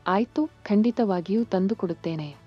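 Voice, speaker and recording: A woman voicing an earnest, hopeful young village daughter-in-law in an animated moral story, polite and pleading. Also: medium pitch, moderate pace, husky, faint music behind, close to an everyday voice. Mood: glad, earnest. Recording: muffled lo-fi sound.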